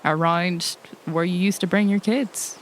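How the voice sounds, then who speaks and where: A woman's voice: fairly low pitch, steady tone, measured pace, a little hiss or hum, low-quality recording. A woman playing a tabletop roleplaying game, improvising banter in character.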